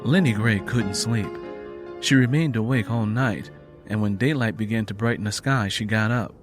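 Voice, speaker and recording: A man's voice: fairly low pitch, normal speed, over music. A man narrating a dramatic story from a script as an audiobook reader.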